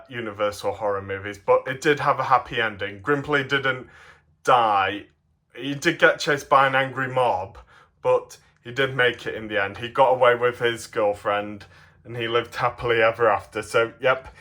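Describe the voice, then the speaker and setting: A man giving a casual, enthusiastic show-and-tell as a hobbyist, rambling and halting in mid-sentence. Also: medium pitch, sharp tone. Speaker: hobbyist presenter of custom toy figures.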